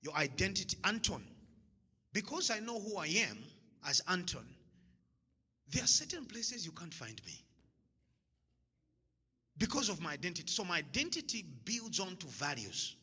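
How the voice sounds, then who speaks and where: A man's voice: high voice, sing-song, moderate pace, long pauses, bright, forceful tone, breathy edge. A man from Zambia giving a motivational talk to an audience, emphatic and repeating his key lines, often laughing at his own jokes. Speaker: motivational speaker. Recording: long hall reverb.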